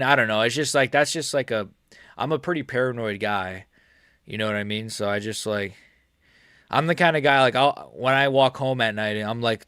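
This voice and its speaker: Young guy streamer, loose filler-heavy live chat, dry close sound, mid pitch. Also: bright, forceful tone, clean tone, no echo. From United States. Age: 20s. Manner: rambling, filler-heavy, laughs often. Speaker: MMA YouTuber streaming live. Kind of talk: casual live-stream chat reading chat questions.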